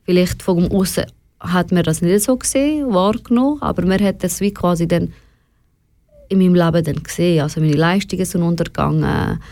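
A young woman with a Swiss German accent speaks as an interview guest. Her voice is slightly low and heard without any room sound. She is candid and reflective, slipping English slang into personal talk. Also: fairly quick, mellow, dry recording. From Switzerland, of Afghan family. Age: young adult.

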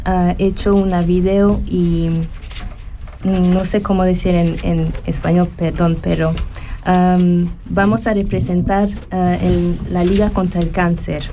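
Swiss pageant contestant, french native, slow careful speech, mellow tone, light background hum. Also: female voice, lowish voice, little pitch movement. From Switzerland. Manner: hesitant, searching for words. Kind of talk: casual interview answers.

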